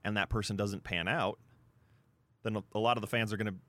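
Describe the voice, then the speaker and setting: A man's voice: fairly low pitch, steady tone, a little fast, fairly bright tone, no echo. A man with an American accent co-hosting sports radio, talking casually and hesitantly with lots of "you know" asides. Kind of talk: casual chat.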